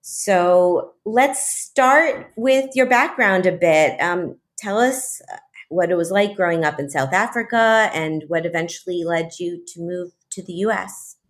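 A woman's voice: fairly low pitch, a little slow. A woman hosting an interview podcast, reading introductions and talking with her guest. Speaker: podcast host.

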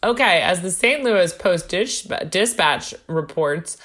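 Wry, slightly low-voiced woman podcaster. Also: expressive, takes it slowly, fairly bright tone. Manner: wry, jokey, playfully conspiratorial. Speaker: podcast co-host. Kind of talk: casual chat, reading from notes.

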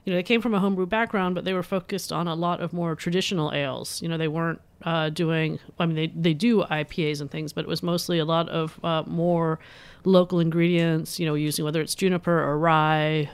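A man with a fairly high, smooth, resonant voice. He explains at length in a thoughtful way and leans on plenty of fillers. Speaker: beer importer sales rep. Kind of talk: explanatory interview answer.

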